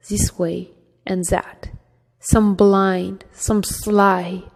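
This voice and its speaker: Slow French-accented woman reading a novel. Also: lowish voice, warm tone. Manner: slow and careful, with some stumbles. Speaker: amateur audiobook reader. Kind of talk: reading a fantasy novel aloud. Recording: long hall reverb.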